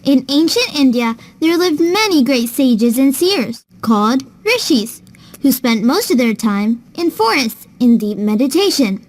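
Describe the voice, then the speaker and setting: A woman playing a knowledgeable big sister in a children's cartoon, with a fairly high voice. She explains patiently and kindly, as if telling a lesson to a younger child. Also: very animated, a little slow, full character voice. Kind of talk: scripted children's educational storytelling.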